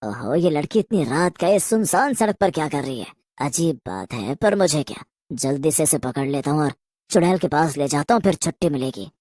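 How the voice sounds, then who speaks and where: Reluctant male ghost, played by a woman: lowish, nervy, grumbling cartoon horror part, dry sound. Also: expressive, normal speed, mellow, no echo. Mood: scheming, puzzled. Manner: nervous, protesting, then grudgingly obedient. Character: guilty, greedy man turned reluctant, grumbling male ghost. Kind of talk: Hindi horror cartoon story acting.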